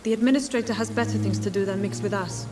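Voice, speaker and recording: A woman playing a no-nonsense hospital nurse in a video game, with a brisk, formal, slightly curt manner. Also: mid-range voice, close to an everyday voice. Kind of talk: dramatic video game acting. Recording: slightly distant, music behind.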